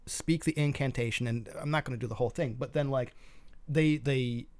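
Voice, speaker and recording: A man's voice: mid-range voice, steady tone, brisk, no echo. A man co-hosting a gaming news show, giving casual, opinionated commentary in an informal, explanatory way.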